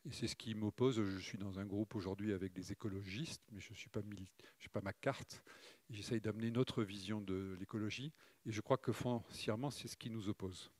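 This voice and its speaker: A middle-aged man from Provence gives a conference talk over slides, speaking in a measured way and pausing often with fillers. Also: on the low side, steady tone, a little mellow, no echo. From Morocco, raised in Provence. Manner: measured, hesitant with frequent "euh" fillers.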